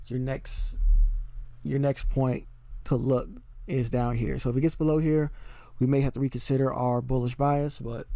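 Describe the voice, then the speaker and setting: A man teaching forex trading in a casual chart walkthrough, talking in a relaxed way with many fillers and "okay?" checks, his voice a little worn. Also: mid-range voice, no echo. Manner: relaxed, filler-heavy explaining with frequent "um" and "okay?". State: slightly losing his voice.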